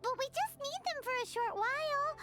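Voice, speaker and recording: A small, excitable girl companion from a video game, with a very high and lively voice. She chatters about herself in the third person. Game music plays steadily behind her. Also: normal speed. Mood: chirpy. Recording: game audio under background music.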